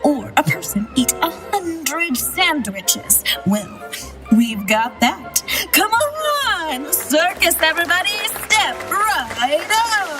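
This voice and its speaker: A woman's voice: very high voice, sing-song, takes it slowly, full character voice. A woman playing a bombastic, shifty circus ringmaster in an audio drama, with grandiose, scornful showmanship. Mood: showy hype. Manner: grandiose barker delivery. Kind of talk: theatrical audio drama acting. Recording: music behind the voice.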